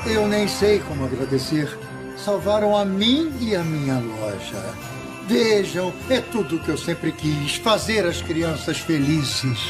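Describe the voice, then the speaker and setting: A kindly older Brazilian shop owner in a cartoon, easily aggrieved. The voice is fairly high, over clear background music. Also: male voice, expressive, unhurried. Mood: grateful, moved. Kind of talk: animated cartoon dubbing.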